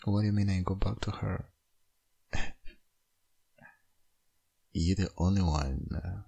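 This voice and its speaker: Guy playing a soft wistful young dad still hung up on his ex, asmr roleplay, really deep n husky, hesitant. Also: heavily acted. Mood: tender, hesitant. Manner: hesitant, halting, intimate murmuring delivery. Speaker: audio roleplay voice actor.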